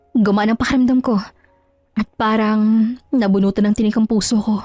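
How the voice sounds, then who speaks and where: Woman acting a high-pitched, indignant betrayed wife. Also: a little mellow, no echo, clean studio sound, natural voice. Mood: indignant, resolute. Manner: scolding, scornful rhetorical questions. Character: hurt, indignant betrayed wife, proud and resolute. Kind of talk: Tagalog audio drama acting.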